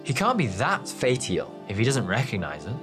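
A man's voice: mid-range voice, lively intonation, measured pace, over music, pitched above the performer's own voice, natural voice. A man voicing a serious, wary male squad member in an audiobook, earnest and firm. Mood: disbelieving. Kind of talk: acted audiobook dialogue.

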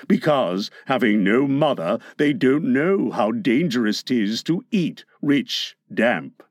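A man voicing a villainous pirate captain in a storybook, scheming gleefully in a slow, menacing, theatrical way. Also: medium pitch, dry recording, clean studio sound, voice pushed down. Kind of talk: storybook character acting.

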